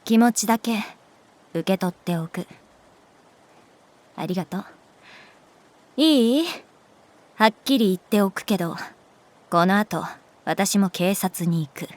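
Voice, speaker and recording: A woman voicing a calm, resigned, gently protective art teacher in a Japanese audio drama, speaking in a soft, measured, wistful way. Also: medium pitch, close to an everyday voice. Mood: firm, resigned. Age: adult. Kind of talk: Japanese audio drama acting, intimate dialogue.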